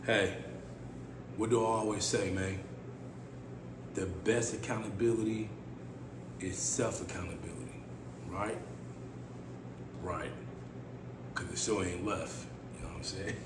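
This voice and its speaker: Raspy-voiced man podcasting in an echoey room. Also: low-pitched, measured pace, pauses often. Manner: laid-back, playful, repeats phrases with slangy asides. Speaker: podcast host. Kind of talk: casual solo podcast monologue. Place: small room indoors, seated. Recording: echoey room with steady background hiss.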